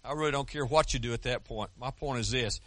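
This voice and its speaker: A man's voice: mid-range voice, fast, fairly bright tone, no room sound. An older American man preaching a sermon in an earnest, conversational way, often restarting his sentences. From United States. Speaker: pastor.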